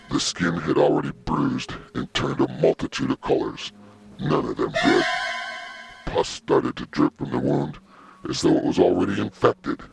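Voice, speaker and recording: A man narrating a first-person horror story in a theatrical, suspenseful manner, with a gravelly voice. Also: lowish voice, a bit bright. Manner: theatrical, suspenseful, with acted exclamations and gasps.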